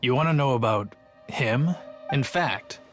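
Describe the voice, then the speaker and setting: A man's voice: medium pitch, animated, a little slow, fairly bright tone. A man playing an earnest, self-justifying city guard in a video game, a dramatic storyteller. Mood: boastful, dramatic. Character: earnest, boastful but timid male city guard. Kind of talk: video game character acting. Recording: music playing behind the voice.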